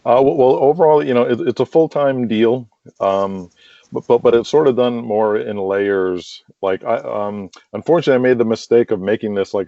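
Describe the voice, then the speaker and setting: A man's voice: on the low side, dark tone. A man being interviewed casually, explaining his work at length in a rambling, conversational way full of filler phrases. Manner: rambling, explains at length with frequent "you know" and "like". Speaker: interview guest, writer of a wrestling audio drama. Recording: dull lo-fi call audio, roomy echo.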